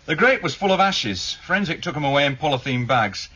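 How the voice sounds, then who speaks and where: Deferential junior British investigator, 1970s radio drama. Higher-set, forceful voice, matter-of-fact delivery. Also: male voice, lively intonation, fairly quick, slightly rough, full character voice. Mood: methodical, matter-of-fact. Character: deferential but keen junior police investigator, male, methodical. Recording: dull, lo-fi 1978 radio broadcast.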